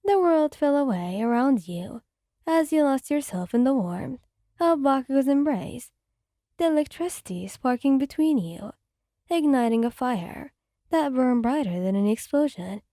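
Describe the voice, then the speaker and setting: A woman narrating a romantic fanfic as an ASMR reading, in a soft, gentle storytelling voice into a close mic. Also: high-pitched, lively intonation, a little slow, no echo.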